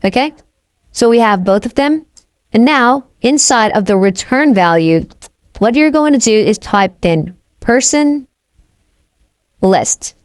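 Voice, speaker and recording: A woman's voice: on the high side, lively intonation, takes it slowly, long pauses, dry recording, studio quality. A woman teaching a coding tutorial, narrating each step of the code as she types, with frequent "okay?" check-ins. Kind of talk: screen-recorded coding walkthrough.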